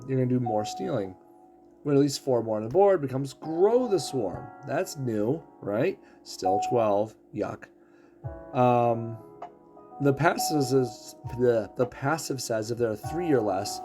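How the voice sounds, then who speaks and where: A man casually explaining a game character's abilities, throat rough as he is losing his voice. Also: medium pitch. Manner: explanatory, walks through ability text. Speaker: game streamer.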